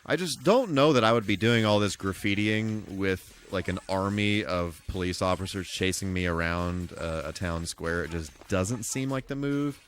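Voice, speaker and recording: A man's voice: low-pitched, moderate pace, hardly any pauses, a little hiss or hum. A man giving casual live commentary while playing a video game, full of mild folksy exclamations and mock-exasperated asides. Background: music.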